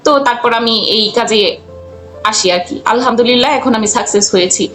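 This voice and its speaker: Young Bangladeshi woman, very high voice, conversational interview guest, faint music underneath. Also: fairly quick, fairly bright tone. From Jamalpur, Bangladesh. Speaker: interview guest, freelance cartoonist.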